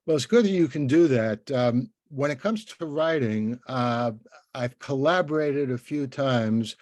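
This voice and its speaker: Older male interviewer, relaxed and mellow, anecdotal and rambling, dry recording. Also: mid-range voice, measured pace, dry recording. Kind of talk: conversational interview.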